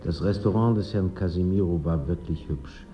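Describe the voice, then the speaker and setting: A man acting as a reflective first-person narrator in a German radio play, with measured, literary storytelling. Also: sits low, natural voice.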